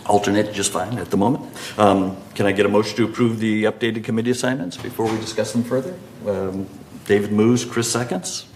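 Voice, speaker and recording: A man's voice: lowish voice, steady tone, brisk, slight background noise. An older man chairing a community board meeting, procedural and hesitant, with many "um" and "uh".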